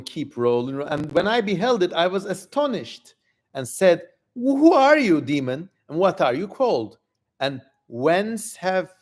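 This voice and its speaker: A man's voice: a little high, darkish tone. A man voicing an ancient king who recounts and questions demons, in a theatrical, declamatory dramatic reading. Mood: commanding. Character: ancient king narrating, commanding and astonished by demons.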